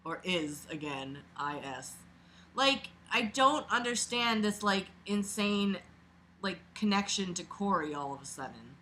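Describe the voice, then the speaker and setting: A woman reading a book aloud as a host, casual and expressive, breaking off for sarcastic, sweary, mocking asides. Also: lowish voice, measured pace, slight background noise.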